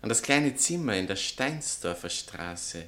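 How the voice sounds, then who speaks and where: An actor playing a vain, sardonic bohemian writer and spurned lover, pompous and mocking, in a satirical radio play. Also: male voice, lowish voice, expressive, measured pace, bright, forceful tone, exaggerated voice. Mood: sardonic, nostalgic. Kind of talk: theatrical literary satire dialogue.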